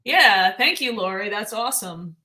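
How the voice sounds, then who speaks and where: A woman's voice: mid-range voice, animated, moderate pace, bright, forceful tone. A woman with a Long Island accent, a guest musician chatting casually in a live-stream interview, often trailing off and laughing.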